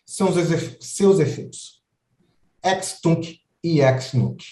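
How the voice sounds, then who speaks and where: A man from Brazil with a Brazilian Portuguese accent, giving an explanatory legal lecture and pausing often on drawn-out fillers. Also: on the high side, dark tone, roomy sound, distant mic. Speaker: lecturer on citizenship law.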